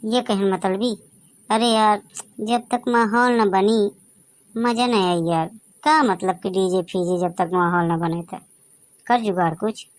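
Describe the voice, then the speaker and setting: A man voicing a brash young village fellow in a Bagheli comedy cartoon, with a high boyish voice, a Bagheli accent and a pushy, argumentative way of talking. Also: fairly even intonation, slow, no room sound. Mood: cajoling, argumentative. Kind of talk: dialect comedy cartoon voice acting.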